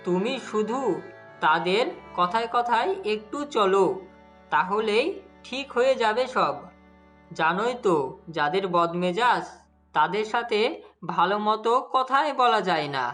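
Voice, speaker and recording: Music plays under a male actor as a young husband in a cartoon fairy tale. He is a greedy, smooth-talking schemer who explains things persuasively and keeps seeking agreement. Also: high-pitched, expressive, measured pace, pauses often, sharp tone, heavily acted. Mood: soothing, coaxing. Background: background music.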